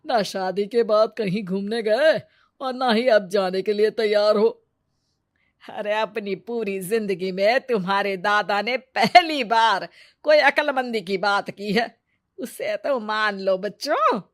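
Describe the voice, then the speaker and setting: A woman's voice: medium pitch, slow pace, close to an everyday voice. A woman playing a bossy, loving old grandmother in a family story, scolding in a playful, folksy way. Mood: nagging affectionately.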